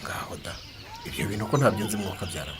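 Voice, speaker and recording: Animated, protesting Rwandan villager man. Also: low-pitched, fast. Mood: puzzled, protesting. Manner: protesting, self-justifying. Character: self-assured cattle-owning villager denying a debt. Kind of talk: radio drama acting.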